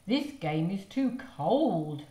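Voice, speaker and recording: A woman voicing a fussy, curious male creature in a children's storybook, with deliberate pronouncements. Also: fairly low pitch, very animated, mellow, exaggerated voice. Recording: roomy echo.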